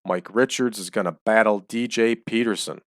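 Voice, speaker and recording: Male let's-play host, low and close, chatty, voice slightly dry. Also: normal speed, no room sound. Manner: chatty, explains choices as he goes. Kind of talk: casual game commentary. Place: small home room.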